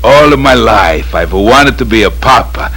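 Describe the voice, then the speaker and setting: Tender, expressive old father. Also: lowish voice, unhurried. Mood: tender, moved. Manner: tender, earnest. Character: gentle, devoted old woodcarver father. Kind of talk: audio fairy tale acting.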